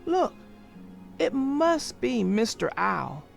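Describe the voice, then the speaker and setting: An eager little turtle boy from a storybook, curious and lively, read out by a woman. The delivery is unhurried, with a pitch that rises and falls a lot and a slight gravelly edge. Soft music sits underneath. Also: heavily acted. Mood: excited.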